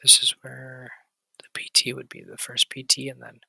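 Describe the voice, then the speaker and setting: A man with a raspy, hoarse voice, going over stock charts in a quick, casual walkthrough with fillers and restarts. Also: medium pitch, monotone, pushed and bright, no echo, low-quality recording. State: hoarse, recovering voice. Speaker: stock trading channel host.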